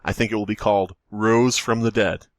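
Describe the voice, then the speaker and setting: A man's voice: lowish voice, steady tone, a little fast, dry recording. A man co-hosting a casual podcast discussion, joking and blunt, with casual swearing. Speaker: podcast co-host.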